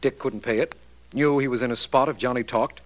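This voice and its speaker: An actor playing a slightly baffled, easily impressed American district attorney in 1940s radio style, formal, measured and inquisitive. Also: male voice, mid-range voice, close to an everyday voice, low-quality recording. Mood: inquisitive, satisfied. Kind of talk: 1940s radio drama acting.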